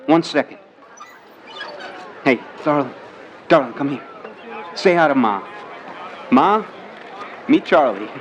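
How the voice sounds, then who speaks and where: An actor playing a lovestruck, dreamy young son in a 1950s period audio drama, earnest and gushing with eager stammers. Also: male voice, on the high side, animated, takes it slowly, long pauses, slight background noise. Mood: eager, coaxing. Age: young adult. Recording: slightly dull, lo-fi sound.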